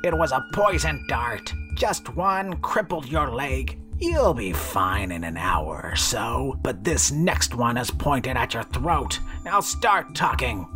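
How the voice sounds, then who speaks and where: A man's voice: medium pitch, sing-song, takes it slowly, few pauses, bright, forceful tone, soft background music, voice pushed up, full character voice. A man voicing a menacing, toothless old jungle hermit with a cracking, hissing voice, snarling his threats in an audiobook. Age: older. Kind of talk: audiobook character voice.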